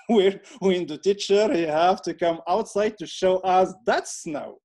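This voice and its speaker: A man with a Moroccan Berber accent speaks in a fairly high-pitched voice. His first language is Berber, so his phrasing is halting, with repeated words as he explains things in an informal interview. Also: darkish tone. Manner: halting non-native phrasing with repetitions.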